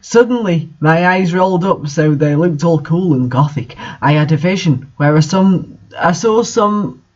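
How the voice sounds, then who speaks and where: A man's voice: fairly high pitch, animated, unhurried, darkish tone, highs cut off. A man reading a story aloud and commenting on it in a mocking, exasperated way. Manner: exasperated, sardonic. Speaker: reader and commentator of bad fan fiction.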